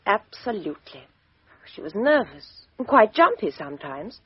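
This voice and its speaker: A woman playing a poised, frank young woman in a 1950s radio drama, crisp and emphatic, with a husky voice on a dull old recording. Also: mid-range voice, sing-song, normal speed, no echo. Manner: crisp, emphatic well-spoken delivery.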